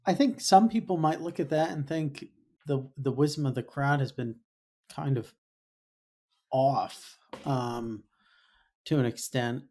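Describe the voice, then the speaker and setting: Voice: mid-range voice, unhurried, long pauses, dark tone. A podcast host interviewing a guest remotely, talking conversationally with fillers and playful asides. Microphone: remote call setup.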